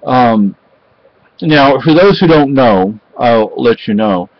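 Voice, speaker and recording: A man's voice: mid-range voice, expressive, dry recording. Rambling middle-aged man on a dull webcam. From Spain. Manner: rambling, halting, many fillers and restarts. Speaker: vlogger. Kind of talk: casual home vlog chat. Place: cluttered home room with bookshelves. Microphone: webcam at close range.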